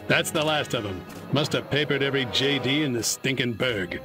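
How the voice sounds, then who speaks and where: A man's voice: medium pitch, lively intonation, normal speed, over loud music, natural voice. An actor voicing a slick, fervent anti-communist 1950s government agent with salesman patter in a campy video game. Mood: smug.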